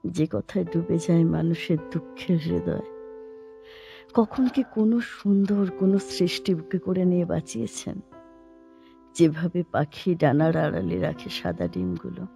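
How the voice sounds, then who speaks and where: A woman acting a poetic, playful female stage performer who gently challenges, in lyrical, expressive audio-drama dialogue. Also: fairly low pitch, a little slow, creaky. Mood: wistful, lyrical. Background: instrumental background music.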